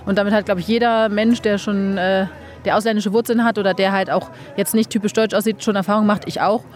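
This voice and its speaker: A young German woman talking quickly and frankly in an interview, her sentences dotted with casual fillers. Her voice sits at a middle pitch, and soft music plays faintly behind her. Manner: frank, relaxed, many fillers like "halt". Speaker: bobsleigh pilot, interview guest.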